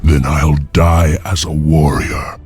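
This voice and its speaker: A man playing a noble, defiant clan warrior leading a slave revolt in a video game, gravelly and resolute. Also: very deep voice, fairly even intonation, slow pace, a little mellow, faint music behind, heavily acted. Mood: grave resolve. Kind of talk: video game hero acting.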